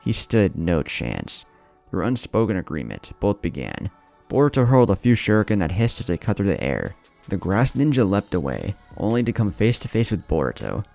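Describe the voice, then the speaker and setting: A man's voice: deep voice, sing-song, normal speed, vocal fry, a little mellow. A man reading scripted fiction as a narrator, in a dramatic storytelling manner. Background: music bed.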